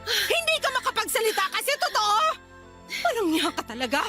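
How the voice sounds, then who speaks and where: A woman's voice: extremely high pitch, big pitch swings, a little fast, over music. A woman playing a furious betrayed wife and older sister in a radio drama, scolding and confrontational. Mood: bitter, accusing. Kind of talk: Tagalog radio drama acting.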